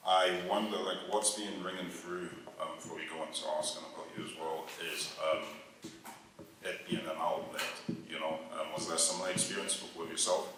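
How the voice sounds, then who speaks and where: Upbeat deep-voiced young panel host. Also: male voice, steady tone, brisk, background noise. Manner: upbeat, encouraging, frequent ums. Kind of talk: hosting a panel. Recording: echoey hall, mic far off.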